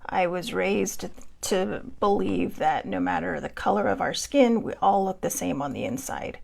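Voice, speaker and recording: An American woman speaking from the heart as a livestream host, in an earnest, reflective, halting way, stressing points by repeating them. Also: lowish voice, animated, gravelly. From United States. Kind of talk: personal, heartfelt spoken commentary.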